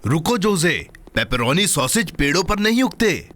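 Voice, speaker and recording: Helpful cartoon friend, fairly high male voice, swooping intonation, encouraging, expressive. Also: normal speed. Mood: reassuring. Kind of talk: children's cartoon dub.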